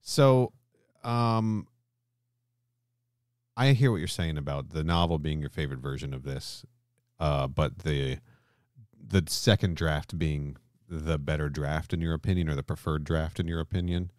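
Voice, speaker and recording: A man's voice: a bass voice, a little slow, darkish tone, no room sound, clean studio sound. A man co-hosting a podcast in casual discussion, thinking aloud and often circling back to rephrase his opinions. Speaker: podcast host. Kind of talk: casual discussion between co-hosts.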